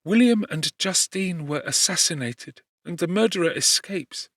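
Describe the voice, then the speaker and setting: A man voicing a grieving gentle young woman. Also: high-pitched, lively intonation, normal speed, dry recording, voice pushed up. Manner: pleading, earnest, softly lifted voice. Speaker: audiobook narrator. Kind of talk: scripted audiobook reading. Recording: dry close studio.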